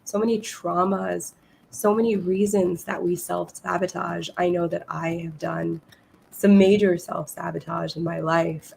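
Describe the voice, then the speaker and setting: A woman hosting a podcast interview, a little hoarse and losing her voice, chatting eagerly with her guest. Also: on the low side, a little mellow, a little hiss or hum, poor audio. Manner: enthusiastic, reads guest bio aloud. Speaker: podcast host. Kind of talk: casual interview.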